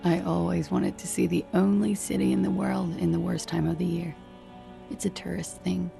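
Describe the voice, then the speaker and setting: An actress playing a world-weary, evasive woman in a detective video game, dry, laconic and sardonic, with a very creaky voice. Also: lowish voice, little pitch movement, moderate pace, warm tone, faint music behind.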